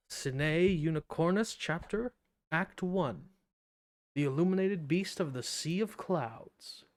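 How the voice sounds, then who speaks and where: A man streaming a video game live, chatting casually, thinking aloud and reading game text in a laid-back way. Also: a little high.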